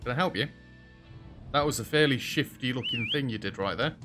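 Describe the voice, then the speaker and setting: A young British man with a rather deep voice, chatting casually over a game as host and joking with the characters on screen. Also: expressive, a little fast, over music. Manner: chatty, self-deprecating, talks to game characters. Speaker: gaming video host. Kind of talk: casual let's-play commentary. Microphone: headset.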